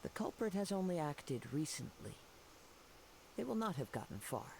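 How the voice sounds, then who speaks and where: A serene, lightly gravelly young swordsman. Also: fairly high pitch, sing-song, slight background noise, rough recording. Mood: composed, resolute. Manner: measured, formal, gentle. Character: serene young wandering swordsman, poetic and composed. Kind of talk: video game voice acting.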